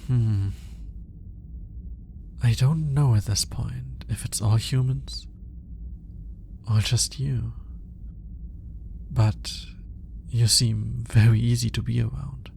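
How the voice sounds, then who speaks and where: A man's voice: mid-range voice, steady tone, creaky, a little mellow, slight background noise. A man playing an awkward, analytical alien scientist in an intimate ASMR roleplay, speaking in formal, hesitant musings. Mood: hesitant, enchanted. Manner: hesitant, formal, articulate musing with sighs and pauses. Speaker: voice actor.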